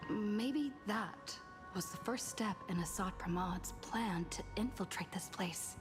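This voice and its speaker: Woman acting a slow, earnest young woman. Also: mid-range voice, over music, close to an everyday voice. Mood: pensive.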